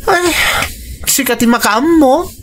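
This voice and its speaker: A sassy, frank friend in a radio drama. She chides slowly, stretching her words with big swings in pitch, over soft music. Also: expressive. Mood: chiding. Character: sassy, frank female friend and co-worker abroad.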